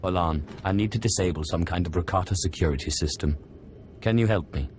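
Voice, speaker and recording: Man as a very deep-voiced dark sorcerer. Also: a little fast, a little hiss or hum, close to an everyday voice. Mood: curt, commanding. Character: ambitious male dark sorcerer, curt and controlled. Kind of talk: dramatic video game acting.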